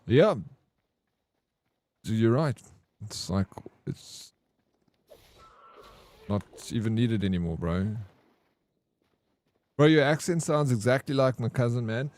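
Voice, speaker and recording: A young man with a Johannesburg South African accent, live-streaming a game and chatting excitedly with his chat in slangy, casual talk. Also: mid-range voice, takes it slowly, long pauses.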